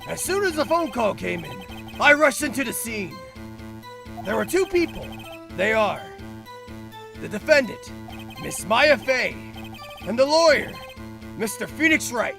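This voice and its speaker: Man voicing an eager, high-pitched blustery detective. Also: big pitch swings, measured pace, creaky, long pauses, sharp tone, soft background music, full character voice. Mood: earnest report. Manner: barking, deferential, stammering when challenged. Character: eager, flustered male police detective, loyal and blustery. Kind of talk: amateur fan dub of a courtroom game.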